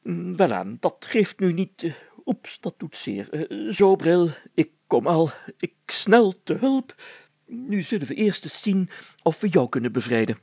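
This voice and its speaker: A man's voice: sits high, big pitch swings, unhurried, vocal fry, darkish tone, husky, no room sound, pitched above the performer's own voice, heavily acted. A man voicing a pompous, blustering village mayor in a story, talking in grand formal words broken by groans and interjections. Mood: boastful, wincing. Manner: pompous, old-fashioned formal wording, full of groans and interjections.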